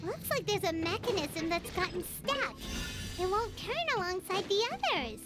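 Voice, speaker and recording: A woman voicing a tiny, chatty, childlike fairy companion in a video game, expressive and earnest, over music. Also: extremely high pitch, moderate pace. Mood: curious. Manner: childlike, expressive, speaks of herself in third person. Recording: loud game music behind.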